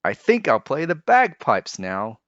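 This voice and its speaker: A man's voice: medium pitch, huge pitch swings, measured pace, a bit bright, dry recording, muffled recording, pitched above the performer's own voice. A man voicing a stubborn little male duck in a children's storybook, with an insistent manner. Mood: cheerful. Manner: insistent, plaintive. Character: plucky stubborn little male duck wanting his turn.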